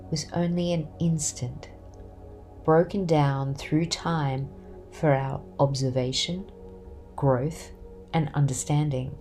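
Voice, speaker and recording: Low, slow woman narrating solemnly. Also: mellow. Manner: solemn, measured, deliberate phrasing. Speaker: book excerpt reader. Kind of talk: scripted literary narration, prologue reading.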